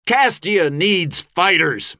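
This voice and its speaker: A man's voice: on the high side, expressive, takes it slowly, no echo, clean studio sound, pitched above the performer's own voice, full character voice. A man voicing a rousing fantasy mercenary leader in an audiobook, giving an impassioned, declamatory speech to an army before battle. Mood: roaring, fervent. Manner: impassioned, declamatory, shouting oratory. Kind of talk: audiobook fantasy character acting.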